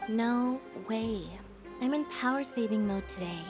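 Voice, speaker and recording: Woman doing a deadpan young magician assistant in a game, lightish high voice, detached. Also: unhurried, lightly acted. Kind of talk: video game voice acting. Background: prominent music.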